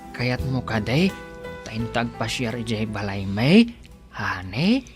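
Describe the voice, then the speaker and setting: Man acting a slow, humble young sweetheart. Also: lowish voice, lively intonation, faint music behind. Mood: shy, tender. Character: humble, bashful young man in love, from a poor family. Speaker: actor in an audio drama. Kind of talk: romantic Ilocano radio drama acting.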